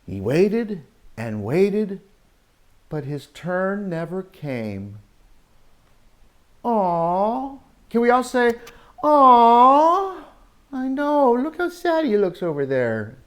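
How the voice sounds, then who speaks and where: Animated man reading a slow-paced children's story. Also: high voice. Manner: expressive, drawn-out story lines with exclamations and questions to listeners. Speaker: teacher reading a picture book to young children. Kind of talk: animated read-aloud storytelling with asides to children.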